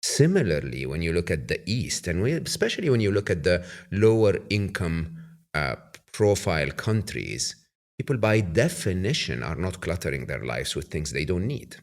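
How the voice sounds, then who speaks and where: A man's voice: low-pitched, big pitch swings, moderate pace, vocal fry. A man in his fifties from Egypt, hosting a podcast, who speaks thoughtfully with frequent hesitant restarts. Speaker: podcast host. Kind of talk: conversational interview. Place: bright room with plants. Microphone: podcast mic on an arm.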